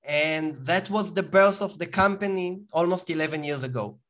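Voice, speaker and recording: Israeli-accented man, fairly high voice. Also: fairly bright tone. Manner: explanatory, halting with fillers and restarts. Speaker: guest, company founder. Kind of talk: interview answers.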